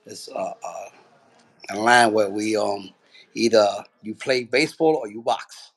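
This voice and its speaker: A man from Puerto Rico, a boxing trainer, telling his story in a relaxed, rambling way with lots of fillers. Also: fairly low pitch, a little slow, poor audio. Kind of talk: casual interview.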